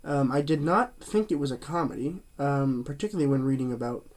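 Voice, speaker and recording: A man's voice: medium pitch, expressive, fairly quick, darkish tone. A man hosting his own movie review channel, chatting casually through a cold that leaves him a bit stuffy and nasal, rambling with plenty of fillers and restarts.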